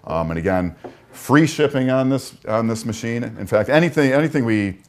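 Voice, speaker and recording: A man's voice: medium pitch, animated, moderate pace, darkish tone. A man co-hosting a live stream, chatting casually about coffee machines and pushing a sale with easy laughs. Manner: easygoing, laughs often, pushes the sale. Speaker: co-host of live product stream.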